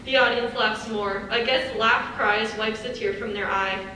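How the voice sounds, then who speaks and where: A woman's voice: fairly high pitch, fairly even intonation, unhurried, sharp tone. A young woman reading stage directions as scripted narration for a live dramatic reading. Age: young adult. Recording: distant echoey hall, faint noise.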